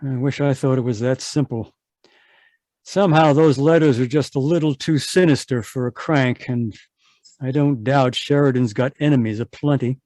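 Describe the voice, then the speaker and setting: A man playing a calm, shrewd police inspector in a radio mystery, with a polite, measured manner. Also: mid-range voice, dry recording, close to an everyday voice. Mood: musing. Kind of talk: 1940s radio mystery acting.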